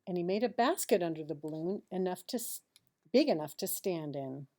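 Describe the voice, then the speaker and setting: Woman, teacher reading a picture book to children: lowish, dark mellow tone, expressive delivery with pauses and confiding asides. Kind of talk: children's storybook read-aloud with asides.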